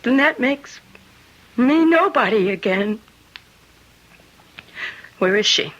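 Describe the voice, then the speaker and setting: A tender, fragile young woman in an old-time radio play. Her fairly high, bright voice moves slowly and haltingly. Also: expressive, dull audio, full character voice. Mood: anxious. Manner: hesitant, halting. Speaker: radio drama actor.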